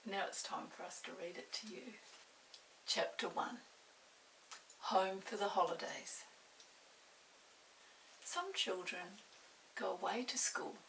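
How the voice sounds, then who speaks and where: A woman's voice: mid-range voice, unhurried, gravelly, long pauses, a bit bright. An older woman, a grandmother hosting storytime, reading to children with simple explanations and chatty questions. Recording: hissy, distant room microphone.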